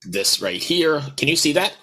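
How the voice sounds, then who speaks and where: A man's voice: a little high, very animated, normal speed, sharp tone, husky. A man hosting an interview, easygoing and joking in casual chat. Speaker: host.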